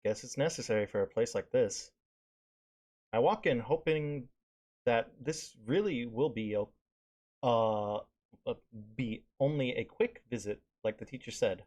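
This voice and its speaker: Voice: medium pitch, darkish tone. A streamer reading a visual novel aloud live, often stumbling and repeating phrases.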